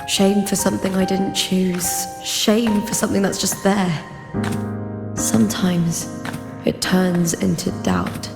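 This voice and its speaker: A woman's voice: medium pitch, steady tone, creaky. A proud young warrior heroine from a game, earnest n solemn, takes her time, big music all over it. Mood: ashamed, brooding. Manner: solemn, earnest, measured monologue with weighty pauses. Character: proud young female champion warrior, burdened by doubt, defiant. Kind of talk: dramatic video game voice acting. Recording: loud music behind the voice. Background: loud game soundtrack music.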